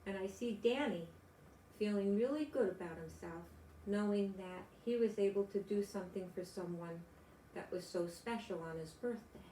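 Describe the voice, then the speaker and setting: An older woman, a grandmother storyteller, reading aloud and chatting to young children in gentle, simple phrases. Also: mid-range voice, moderate pace. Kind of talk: children's read-aloud and chat to young listeners. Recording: echoey room with background noise.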